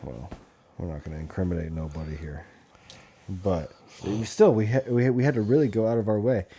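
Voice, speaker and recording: American man, around thirty, low mellow voice, casual podcast chat, crude slang, lots of profanity. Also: steady tone, brisk, slight background noise. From Montana, USA. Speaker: podcast host.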